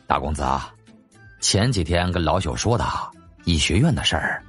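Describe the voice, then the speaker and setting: A man's voice: low voice, animated, normal speed, a bit bright, slightly rough, soft background music, voice pushed down, heavily acted. A man voicing a humble, courteous old male physician in an audiobook, polite and deferential. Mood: polite, apologetic. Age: older. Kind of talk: audiobook character acting.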